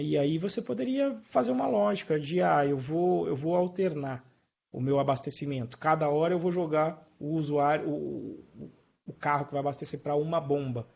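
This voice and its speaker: A man's voice: a little high, creaky, darkish tone, slightly rough. A man with a Brazilian Portuguese accent teaching programming in an informal live lesson, explaining things colloquially and checking in with his listeners. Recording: dull lo-fi online stream.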